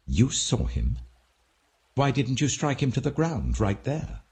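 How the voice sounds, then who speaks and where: A man's voice: medium pitch, wildly swooping pitch, a little slow, darkish tone, voice pushed up. A man voicing a harsh, impatient ancient army commander in a scripture audiobook, blunt and commanding. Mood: indignant, impatient.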